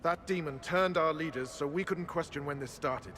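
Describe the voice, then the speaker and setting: An actor playing a grim, resolute templar knight officer in a fantasy video game, commanding and earnest. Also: male voice, fairly high pitch, normal speed, noisy background, close to an everyday voice. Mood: grim regret. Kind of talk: dramatic video game voice acting.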